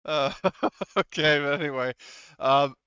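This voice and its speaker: A man's voice: on the high side, slow, pushed and bright, clean tone, no room sound, muffled recording. A man running a tabletop game as game master, speaking casually and checking in with players. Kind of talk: casual game narration with pauses.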